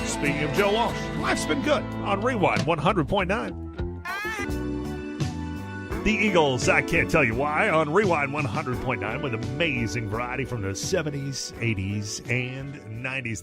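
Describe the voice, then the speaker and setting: A man working as a music radio DJ, giving upbeat, conversational patter with emphatic, enthusiastic promotion between songs. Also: fairly high pitch. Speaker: radio DJ, music station host. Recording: radio broadcast with music behind.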